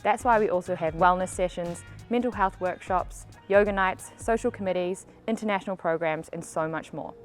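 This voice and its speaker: Company presenter: Australian female, voice a touch low, scripted recruitment read over background music. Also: normal speed, hardly any pauses. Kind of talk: scripted recruitment video narration.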